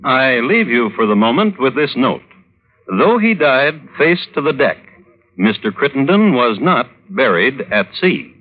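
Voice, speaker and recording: Wry, animated man narrating vintage radio. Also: medium pitch. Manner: wry, droll, deliberate storytelling. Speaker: host and narrator. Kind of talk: scripted old-time radio narration. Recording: tinny vintage radio recording.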